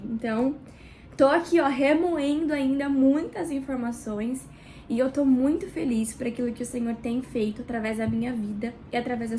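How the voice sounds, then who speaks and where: A woman with a Brazilian Portuguese accent hosts a book club video in her small kitchen, chatting warmly and directly to the viewer, nasal and stuffed up from a cold. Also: high voice, slight background noise. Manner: warm, chatty, addresses viewer directly with pauses. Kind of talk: casual devotional reading vlog.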